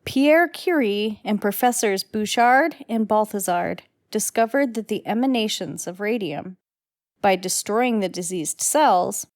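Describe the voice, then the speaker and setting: A woman's voice: medium pitch, dry recording, clean studio sound. A woman reading scripted narration as the narrator of an old time radio drama.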